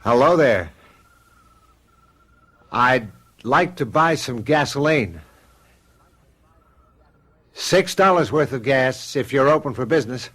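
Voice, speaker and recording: An actor playing an uneasy, wry husband in a radio drama, casual on the surface and a bit hesitant. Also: male voice, medium pitch, lively intonation, takes it slowly, pauses often, a bit bright, husky, exaggerated voice. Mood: calling out. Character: uneasy, wry husband, privately afraid of touching people. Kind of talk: old-fashioned radio drama acting. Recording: noisy vintage radio drama tape.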